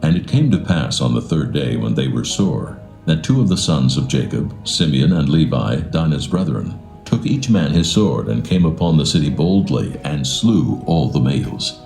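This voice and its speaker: A man narrating scripture in a dramatised reading, solemn and measured. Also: rumbling low, very animated, gravelly. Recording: roomy echo, soft music behind.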